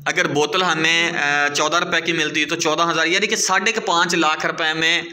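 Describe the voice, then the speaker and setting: Pakistani male presenter, slightly high, very sharp voice, inquisitive, recapping for viewers in an informal interview. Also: fairly even intonation, moderate pace.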